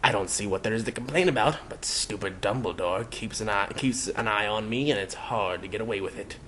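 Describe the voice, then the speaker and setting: A man voicing a smug, lecherous talking hat with a gruff baritone and crooning, cajoling manner. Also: brisk, fairly bright tone, slight background noise, natural voice. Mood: grumpy. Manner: crooning, sing-song, cajoling.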